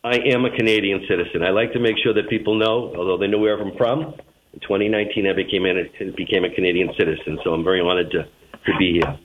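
Male radio guest, Boston accent, lowish voice, official answering questions down a thin phone line. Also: fairly even intonation, fast, slight background noise. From Boston, United States. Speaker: transit agency general manager, interview guest. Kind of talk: spoken radio interview answers. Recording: narrow tinny phone line.

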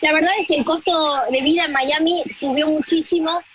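An Argentine woman reporting live from the tournament in a brisk, informative way, with frequent "eh" fillers. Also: high voice, fairly even intonation, fairly bright tone, slight background noise, rough recording. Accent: Argentine Spanish.

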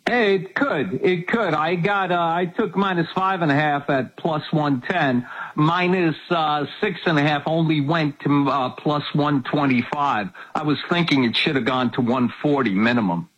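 A man's voice: on the high side, normal speed, few pauses, fairly bright tone, breathy edge. A man of seventy, a guest on a sports betting show, talking casually with wry, self-mocking humor. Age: 70s. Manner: wry, self-mocking, laughs at his own jokes. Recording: muffled call-in line.

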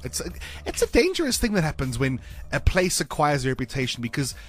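Animated South African radio host. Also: male voice, fairly high pitch, fairly quick, faint music behind. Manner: lively, expressive, laughs easily. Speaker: radio breakfast show host. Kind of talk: casual radio chat. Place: radio studio. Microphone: studio microphone.

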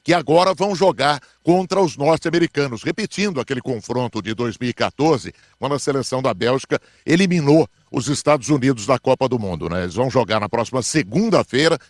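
Man, mid-pitched sports commentator; measured, explanatory radio delivery, close and dry sound. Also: expressive, dry recording.

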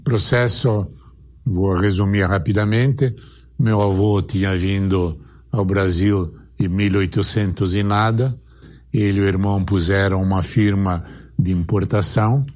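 A man's voice: on the low side, darkish tone. An older man who grew up in Italy, telling family stories unhurriedly in an archived interview. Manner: unhurried, anecdotal, quotes relatives.

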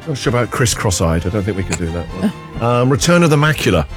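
Punning radio host man, lively pitch. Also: quick pace, over loud music. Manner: playful punning, laughs often. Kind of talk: jokey breakfast radio banter. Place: radio studio.

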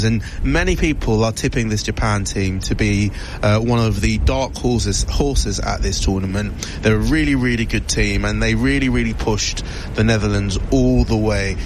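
A man's voice: lowish voice, normal speed, noisy background. A man presenting a sports news report, talking fluently but with frequent "uh" fillers.

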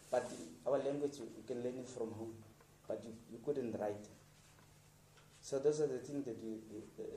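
A man's voice: medium pitch, mellow, slight background noise. A South African man, a radio presenter, speaking plainly and encouragingly to a group of students. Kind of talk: informal talk to a group of students. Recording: roomy, distant, faint ambience.

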